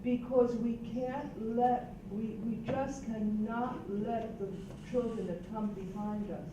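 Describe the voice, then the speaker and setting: A woman's voice: fairly high pitch, steady tone, takes it slowly. Older Puerto Rican woman, echoey distant room. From Puerto Rico. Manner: earnest, reflective, emphatic. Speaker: roundtable participant. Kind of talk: panel discussion. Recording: distant echoey room mic, dull and noisy.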